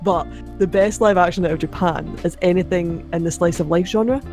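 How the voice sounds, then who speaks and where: A young woman with a mid-range Scottish accent, chatting casually as a podcast guest, quick to laugh and restart her sentences. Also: fairly low pitch, a little mellow, faint music behind. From Scotland. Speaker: podcast guest, anime video creator. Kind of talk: casual chat.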